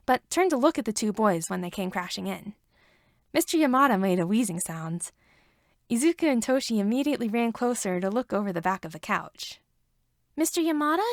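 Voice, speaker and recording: A woman's voice: medium pitch, animated, fairly quick, no echo, clean studio sound. A woman reading a story aloud as scripted narration. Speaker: fan fiction reader.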